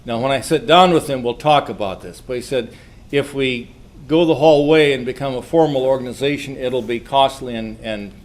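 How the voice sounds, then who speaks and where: A man hosting an informal club meeting, speaking to the room in a folksy, halting way with repeats and self-interruptions. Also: mid-range voice. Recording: noisy live meeting room recording.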